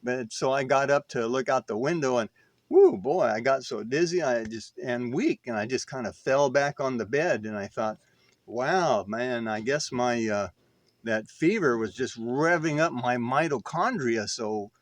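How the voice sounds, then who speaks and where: An older man with a medium-pitched voice talks casually, like a vlogger telling a personal story. His manner is folksy and self-deprecating, and he rambles along loosely. The sound is dry, with very little room echo. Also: measured pace. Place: inside an RV.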